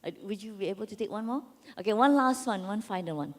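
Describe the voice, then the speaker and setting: A woman's voice: medium pitch, animated, fast talker. A woman moderates a question session in short, informal phrases. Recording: echoey hall, long reverb.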